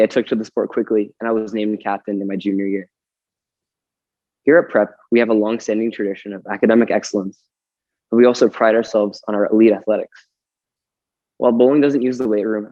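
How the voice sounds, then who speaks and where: A young man in his late teens with a slightly raspy voice gives a formal, polite welcome speech as a student team captain in a school gym. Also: fairly low pitch, dark tone, dry recording, muffled recording.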